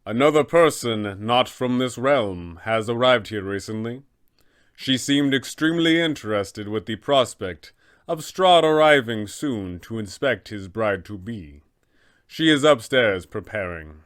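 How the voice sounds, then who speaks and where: A man voicing a serene but sinister abbot in a fantasy tabletop game, speaking in a measured, formal, solemn manner. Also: mid-range voice, animated, a bit bright. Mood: composed, formal. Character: serene, sinister male abbot, secretly an angel. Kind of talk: fantasy tabletop roleplay villain dialogue.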